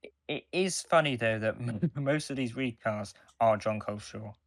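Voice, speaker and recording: A man's voice: mid-range voice, gravelly. A man co-hosting a podcast, chatting enthusiastically as a fan and often doubling back on his words. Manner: enthusiastic, halting with repeated words. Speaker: podcast co-host. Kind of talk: casual fan discussion.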